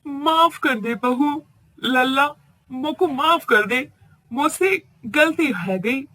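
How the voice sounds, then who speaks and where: A woman's voice: high-pitched, takes it slowly, bright, forceful tone, exaggerated voice, poor audio. A woman voicing an older village mother-in-law who speaks in a rustic dialect. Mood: apologetic.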